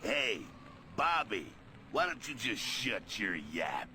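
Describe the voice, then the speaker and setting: A very deep, gravelly bruiser of a video game villain. He is cocky and crude, throwing taunts with a hard, forceful edge. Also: very animated, takes it slowly, slight background noise, full character voice. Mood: belligerent. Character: hulking male brute villain, crude, cocky and belligerent.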